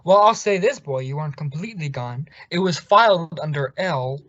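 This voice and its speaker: A man's voice: fairly high pitch, expressive, moderate pace, a bit bright, dry recording. An actor playing a blustery, sardonic older male boss in an old radio comedy. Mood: sarcastic. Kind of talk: 1950s radio sitcom acting. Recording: dull vintage radio recording.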